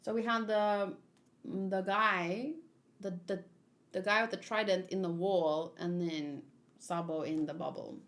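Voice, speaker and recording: A woman hosting a livestream read-along, chatting casually in an excitable, playful, expressive way. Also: medium pitch, unhurried. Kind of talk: casual livestream chat mixed with animated read-aloud.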